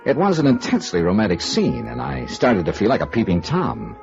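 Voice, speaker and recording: A man's voice: mid-range voice, huge pitch swings, fairly quick, vocal fry, darkish tone, faint music behind. An American man playing a sardonic, unflappable insurance investigator in old-time radio noir, with wry, clipped delivery. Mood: wry, brooding. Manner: wry, clipped, dry repartee. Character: sardonic, sharp-witted male insurance investigator, hard-boiled and unflappable. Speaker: radio actor, narrator and lead. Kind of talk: old-time radio noir acting with first-person narration. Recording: muffled vintage radio drama recording.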